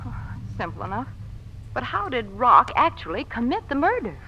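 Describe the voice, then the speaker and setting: A woman's voice: on the high side, big pitch swings, normal speed, sharp tone, breathy edge, heavily acted. An actress playing an eager, curious, playful lady companion to a sleuth, theatrical in 1940s radio drama style. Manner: theatrical, inquisitive. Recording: muffled, hissy vintage radio broadcast.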